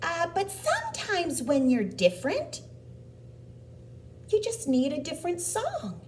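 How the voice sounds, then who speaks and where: Woman as a slow, kindly storybook cricket. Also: very high-pitched, huge pitch swings, darkish tone, voice pushed up, full character voice. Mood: friendly, encouraging. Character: kind, encouraging cricket with a violin, gentle mentor. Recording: distant mic with background noise.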